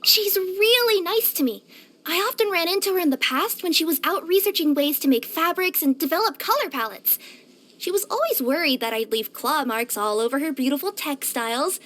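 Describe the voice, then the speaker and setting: A woman voicing a cheerful, curious young female cat yokai in a video game, with a light girlish voice and an expressive, chatty delivery. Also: very high-pitched, normal speed. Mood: chatty, bright. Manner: expressive, eager, chatty, wistful at times. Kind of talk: scripted game character voice-over.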